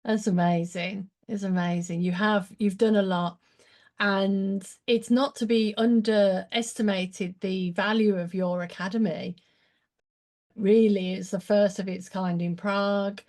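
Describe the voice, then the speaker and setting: Female talk host, effusive and enthusiastic; mellow, relaxed timbre. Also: mid-range voice, measured pace. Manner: effusive, gushing praise, animated. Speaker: show host. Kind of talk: casual interview chat.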